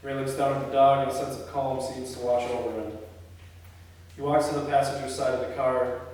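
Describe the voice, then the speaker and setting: A man's voice: mid-range voice, level tone, a little fast, darkish tone, recorded from a distance. A man heard from well away from the mic in a strongly reverberant room, with faint noise behind him. He leads a script read-through, reading stage directions aloud and talking casually through the production in a mid-range voice.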